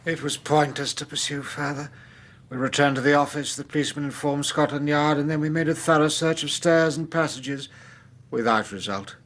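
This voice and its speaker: An actor playing an agitated young gentleman clerk with an English accent, hesitant, polite and excitable, in a radio drama. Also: fairly high pitch, moderate pace, natural voice. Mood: earnest recounting.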